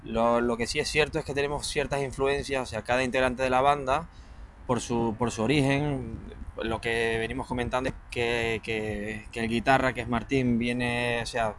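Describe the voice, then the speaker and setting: A man's voice: mid-range voice, fairly even intonation, moderate pace, a bit bright, a little hiss or hum. A man from Portugal, drummer in a band, answering interview questions hesitantly, often repeating words.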